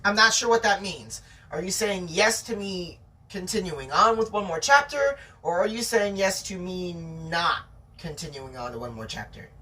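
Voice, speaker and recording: Someone reading a novel aloud in an animated, theatrical way, with chatty asides to the listener. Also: mid-range voice, normal speed, recorded from a distance, poor audio.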